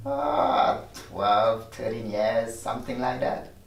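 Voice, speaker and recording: An actor playing a wry, laconic, gravelly-voiced neighbor in a dramedy table read. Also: male voice, medium pitch, wildly swooping pitch, slow, full character voice. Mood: wry, low-key. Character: wry, laconic long-dead male neighbor. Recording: roomy echo, distant microphone.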